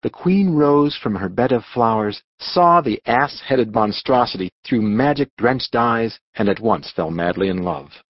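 A man narrating a scripted storybook audiobook with dramatic, expressive delivery. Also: mid-range voice, unhurried, few pauses, no room sound, lo-fi sound.

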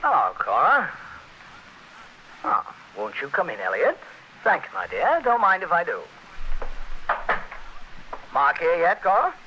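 An actor playing a smug, sardonic and mock-courteous blackmailing husband in a 1940s radio thriller. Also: male voice, on the high side, huge pitch swings, slow, long pauses, exaggerated voice. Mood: smugly jovial. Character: smug, mocking blackmailing husband back from the dead. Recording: dull, noisy vintage radio recording.

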